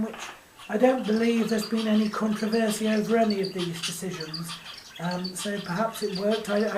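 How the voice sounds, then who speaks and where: A woman with a hoarse voice talks through a recap in a loose, hesitant way, filling gaps with ums and uhs. Her voice sits mid-pitch for a woman. The room is echoey, with a faint hiss behind her. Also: darkish tone. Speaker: fight card recap vlogger. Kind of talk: casual spoken recap.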